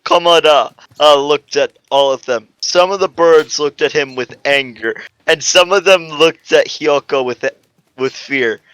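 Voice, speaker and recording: A man's voice: on the high side, a little slow, fairly bright tone, clean tone, rough recording. A man narrating a comic story informally as an amateur dramatic reading, stumbling over the text at times.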